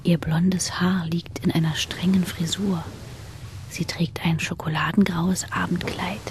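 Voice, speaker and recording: A woman narrating a radio drama from a script in a hushed voice. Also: lowish voice, level tone, measured pace, noisy background.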